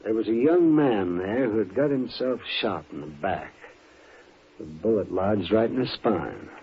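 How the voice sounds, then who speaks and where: An American actor playing a weary old frontier town doctor in a Western radio drama, hesitant and guarded in a folksy way. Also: male voice, lowish voice, lively intonation, a little slow. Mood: reluctant explaining. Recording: tinny old radio recording with hiss.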